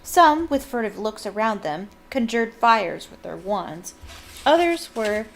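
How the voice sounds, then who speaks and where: A young adult woman reading a novel aloud as a fan host, breaking off for giggly, enthusiastic comments. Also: medium pitch. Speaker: book reader and fan channel host.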